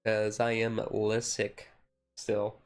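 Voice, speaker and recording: A man streaming a casual ASMR-style card showcase, easygoing and halting as he reads out cards, his voice a little rough and taken gently. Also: on the low side, completely flat delivery, faint music behind. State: voice slightly rough, being taken easy.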